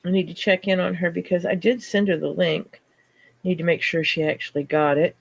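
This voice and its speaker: A woman's voice: on the low side, flat delivery, brisk, creaky, no echo. Scratchy-voiced woman hosting a livestream. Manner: chatty, greets viewers by name mid-story. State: scratchy voice from illness. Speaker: livestream host. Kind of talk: casual livestream chat.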